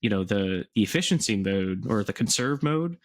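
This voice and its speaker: A man's voice: lowish voice, expressive, normal speed. A man co-hosting a podcast, explaining things in casual conversation. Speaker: podcast co-host.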